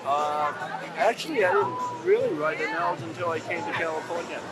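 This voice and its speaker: Hesitant man amid loud crowd. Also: fairly high pitch, big pitch swings, normal speed. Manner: hesitant, short answers. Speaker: interviewee. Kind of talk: interview answers. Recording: loud crowd and music outdoors.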